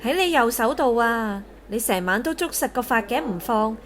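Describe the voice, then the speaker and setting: A woman playing a kindly, reassuring young nurse in an audio drama, gently chatty. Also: on the high side, lively intonation, moderate pace, close to an everyday voice. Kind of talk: Cantonese audio drama acting.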